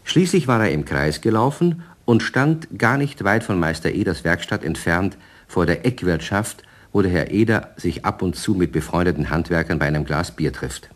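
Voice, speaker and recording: A man narrating a children's radio play as an expressive, colloquial storyteller. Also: low voice, moderate pace, hardly any pauses.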